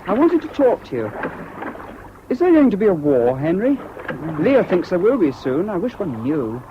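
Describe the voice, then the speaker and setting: A man's voice: high voice, wildly swooping pitch, moderate pace. An actor playing a naive, indecisive young British man in a radio drama, hesitant and ingenuous. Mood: confiding, uncertain. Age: young adult. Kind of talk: BBC radio drama acting. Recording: dull, noisy old radio broadcast.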